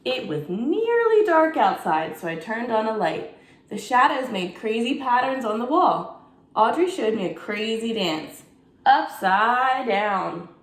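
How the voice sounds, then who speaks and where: A woman's voice: fairly high pitch, measured pace. Woman reading aloud in an echoey room. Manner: expressive, asks young listeners questions. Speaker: teacher. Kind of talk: children's bedtime read-aloud.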